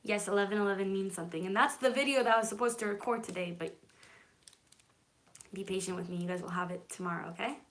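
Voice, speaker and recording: A woman's voice: mid-range voice, quick pace, a bit bright, slight background noise. Chatty woman hosting a live stream. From California. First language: Hebrew. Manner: chatty and reassuring, often checks in with okay. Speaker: livestream host. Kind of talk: casual live stream answering viewer comments.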